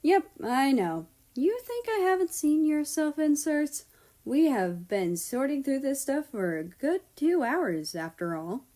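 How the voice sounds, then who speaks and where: A woman's voice: high-pitched, very animated, a little slow, dark tone, voice pushed up. A woman voicing a teasing young man, a personified country in a story, with casual, sarcastic banter.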